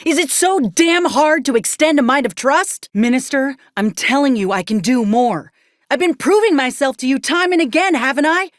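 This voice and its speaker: A woman's voice: high voice, moderate pace, no echo, lightly acted. An actress playing a plainspoken, stubborn woman soldier in a video game, with folksy, dry, blunt phrasing. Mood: angry, defiant. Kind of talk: video game character dialogue.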